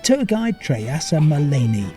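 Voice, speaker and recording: Lively travel presenter man over music. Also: fairly high pitch, unhurried. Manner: enthusiastic, polished delivery. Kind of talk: scripted travel narration.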